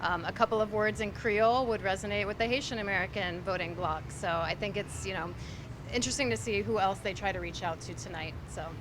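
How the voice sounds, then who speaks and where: A woman from Miami, a newspaper columnist, giving measured, opinionated takes on politics on a live stream. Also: mid-range voice, fairly bright tone. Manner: measured and opinionated, weighing her words. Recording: noisy live-stream background.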